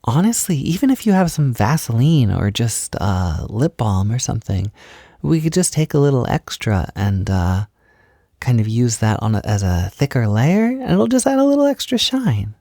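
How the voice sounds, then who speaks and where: A man playing a gentle, reassuring partner in an intimate ASMR-style roleplay, soft-spoken and soothing, with casual phrasing that often slips toward a whisper. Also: medium pitch, very animated, moderate pace, no room sound, studio quality. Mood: chatty, encouraging. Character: gentle, reassuring male partner doing a beginner's makeup.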